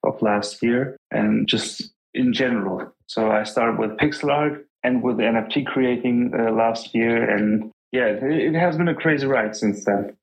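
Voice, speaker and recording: A young man in his twenties from Germany, talking at an ordinary middle pitch. He answers interview questions humbly and hesitantly, often restarting his sentences. He sounds like he is on a remote call mic. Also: measured pace, hardly any pauses, low-quality recording. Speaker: guest artist. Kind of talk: podcast interview.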